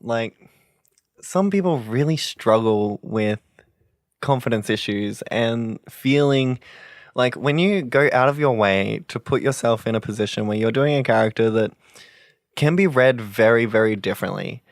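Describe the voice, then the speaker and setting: A young man with a very deep voice, co-hosting a podcast and talking passionately with lots of fillers. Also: unhurried, no room sound, studio quality. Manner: passionate, rambling, many fillers. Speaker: podcast co-host. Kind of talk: casual podcast chat.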